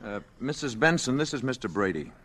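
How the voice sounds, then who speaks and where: An actor in a radio drama playing a calm, courteous alien visitor who passes as human, speaking with polite self-assurance. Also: male voice, mid-range voice, brisk, breathy edge. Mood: polite, friendly.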